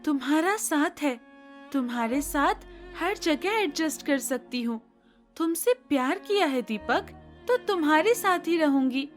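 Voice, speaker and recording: A woman voicing an earnest, polite young city-bred bride in an animated moral story. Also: sits high, a little slow, soft background music. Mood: devoted, eager.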